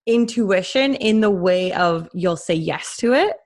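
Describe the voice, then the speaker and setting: A woman's voice: on the low side, expressive, a little slow. A woman hosting an interview, asking questions in an encouraging, conversational way. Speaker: interviewer, podcast host. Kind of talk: casual interview chat.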